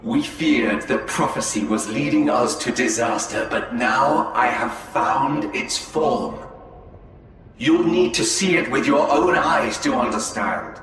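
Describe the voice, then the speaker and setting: An actor playing a grave, protective alien seer in a game cinematic, solemn and formal. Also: male voice, mid-range voice, lively intonation, takes it slowly, fairly bright tone. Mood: grave, urgent. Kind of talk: dramatic game cinematic acting. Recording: very echoey, faint noise.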